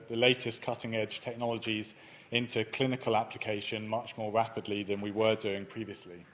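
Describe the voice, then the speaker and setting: A man presenting his research at a conference, speaking in a measured way with frequent ums and uhs. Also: medium pitch, slight background noise. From not Germany. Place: conference hall.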